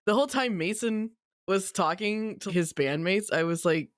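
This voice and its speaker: A podcast co-host recapping a story in casual group chat, sardonic and quick to swear. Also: medium pitch, no echo, studio quality.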